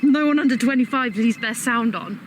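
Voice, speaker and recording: Actress as a high-voiced, awkward young waitress. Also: female voice, normal speed, close to an everyday voice. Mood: awkward, casual. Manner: terse, hesitant, reluctant. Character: awkward, guarded young female cafe worker, under twenty-five. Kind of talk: audio drama acting. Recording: noisy outdoor cafe ambience with traffic. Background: cafe and street ambience.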